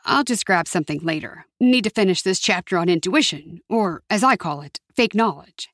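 A woman voicing an introverted, solemn philosophy lecturer who talks quietly and dryly while distracted. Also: on the low side, lively intonation, normal speed, no echo, clean studio sound.

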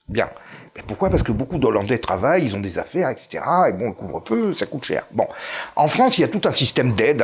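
A man's voice: medium pitch, breathy edge. A man of Italian origin, a guest in a political interview, talking with many fillers and restarts and pushing hard on key words. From Italy. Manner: hesitant, frequent fillers and restarts, emphatic rises.

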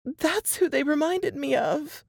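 A woman voicing a high, quivering, terrified woman. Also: a little slow, creaky, darkish tone, no room sound, studio quality. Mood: urgent. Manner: trembling, tearful telling. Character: distraught frightened woman, quivering and shaky. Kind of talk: audiobook character voice.

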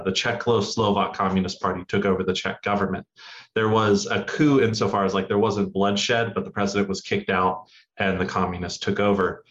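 A man's voice: on the low side, fairly bright tone. A young man, a guest on an interview show, earnestly telling family stories with some fillers. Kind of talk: interview answers. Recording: dull lo-fi audio.

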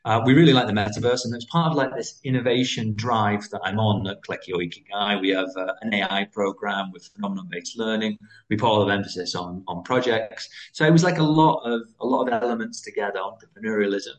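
British male guest, fast and animated, school-founder type, conversational. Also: lowish voice, poor audio. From United Kingdom. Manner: fast, animated, many restarts. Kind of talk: interview conversation.

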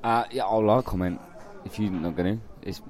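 A man's voice: fairly low pitch, normal speed, darkish tone. A man giving drink reviews as a guest in casual pub chat. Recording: busy pub crowd behind.

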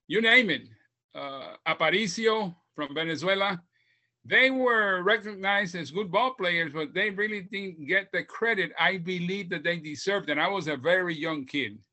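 A man in his seventies from Puerto Rico tells his life story as a podcast guest, heartfelt and reflective. His voice is bright and forceful, and sits somewhat high for a man. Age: 70s. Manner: heartfelt, reflective, speaks slowly. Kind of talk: reflective storytelling interview answers.

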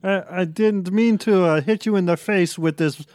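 A man's voice: high voice, normal speed, darkish tone, no echo, studio quality, lightly acted. A man voicing a tipsy, dismissive middle-aged mother in a script reading.

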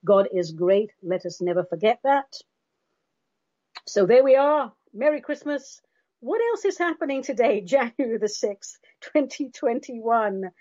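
A woman's voice: sits high, warm tone, highs cut off. A woman from London with a London accent, hosting a podcast in a theatrical, sardonic monologue that calls her listeners darlings. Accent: London English. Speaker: podcast host.

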